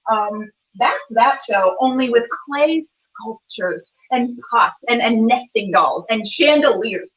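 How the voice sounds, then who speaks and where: A woman with a fairly high voice talks to camera in a casual, rambling, playful way, like a cooking host who chats to herself as she works. She sounds some distance from the microphone. The room is clearly echoey and the sound a little dull. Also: lively intonation, slow pace, fairly bright tone.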